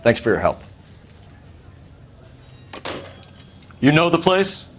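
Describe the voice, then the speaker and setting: Formal, earnest American police detective. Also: male voice, fairly high pitch, sing-song, fairly quick, slight background noise. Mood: businesslike. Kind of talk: video game cutscene acting.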